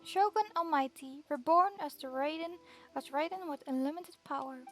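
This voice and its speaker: High-voiced woman streamer reading aloud. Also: moderate pace, soft background music. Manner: steady reading with occasional word restarts. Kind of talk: reading game text aloud.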